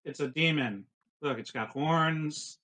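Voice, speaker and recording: A man's voice: a little high, takes it slowly, pushed and bright, poor audio. A man playing a tabletop game, talkative and casual, given to joking asides. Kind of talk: casual chat during a game.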